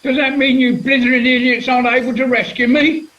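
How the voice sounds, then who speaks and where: A man's voice: very high-pitched, level tone, fairly quick, fairly bright tone, a little hiss or hum. A man playing a belligerent, indignant castaway full of complaints in a comic radio play, on a muffled recording. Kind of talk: British comedy radio play acting.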